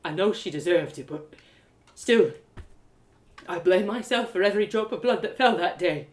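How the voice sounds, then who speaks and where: A woman acts out a wounded young man in an audio story. He is brooding and bitter, telling of old hurts with anguish. The voice sits low, in an echoey room. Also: moderate pace, a little mellow, lightly acted. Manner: brooding, anguished, matter-of-fact. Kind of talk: dramatic audio story acting. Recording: roomy, echoey live reading.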